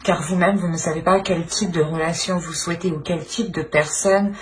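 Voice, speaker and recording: A woman giving an informal tarot reading, speaking haltingly as she searches for words and often clears her throat. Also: fairly low pitch, fairly even intonation, a little hiss or hum. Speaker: tarot reader.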